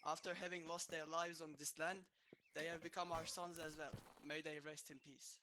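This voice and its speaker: A man's voice: on the high side, little pitch movement, moderate pace, sharp tone, slight background noise. A man from Turkey with a Turkish accent, giving an earnest memorial speech in voice chat. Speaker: memorial speaker. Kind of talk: speech over game voice chat.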